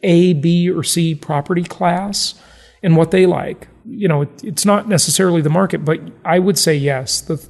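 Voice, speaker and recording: A male podcast host with a fairly high voice that often breaks into gravelly fry. He talks casually and conversationally, and his voice carries a long reverb. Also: normal speed, darkish tone.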